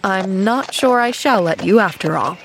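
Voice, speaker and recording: A woman reading the part of a genial, teasing male doctor in an audiobook, brisk and kindly. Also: medium pitch, lively intonation, slight background noise, natural voice, low-quality recording. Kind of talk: audiobook character acting.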